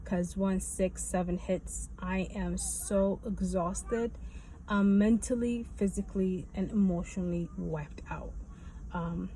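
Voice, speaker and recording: A woman with a soft-edged, mellow voice at an average pitch talks casually to her viewers. She rambles through a personal update, circling back and repeating phrases. There is faint noise behind her. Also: steady tone, takes it slowly, rough recording. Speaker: video blogger giving a health update. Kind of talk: casual personal vlog talk.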